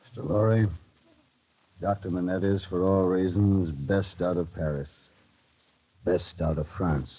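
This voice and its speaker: A muffled vintage radio recording features a patient guardian figure. His voice is low and husky, his prompting plain and deliberate in radio drama style. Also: steady tone. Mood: urgent. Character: patient, protective man tending a broken prisoner. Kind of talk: mid-century radio drama acting. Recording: muffled old radio broadcast.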